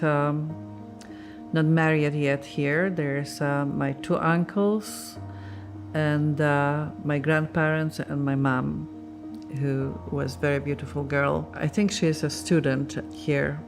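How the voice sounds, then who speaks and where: Slow, halting woman. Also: low voice. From Poland. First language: Polish. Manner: reflective, halting, searching for words. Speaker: librarian. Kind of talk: documentary interview.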